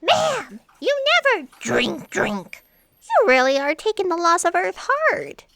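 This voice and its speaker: An actress playing an earnest, excitable fact checker who worries easily, in an audio drama. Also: female voice, very high-pitched, slow, heavily acted. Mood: alarmed.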